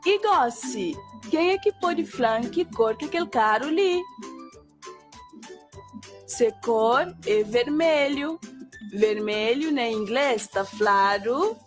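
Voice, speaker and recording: Woman acting slow, encouraging cartoon schoolteacher, Cape Verdean. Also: fairly high pitch, animated. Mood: encouraging, instructive. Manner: slow, instructive, questioning and encouraging. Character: cheerful, patient female schoolteacher in a children's cartoon. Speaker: teacher. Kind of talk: scripted children's language lesson. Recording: children's music under the voice. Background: music for children.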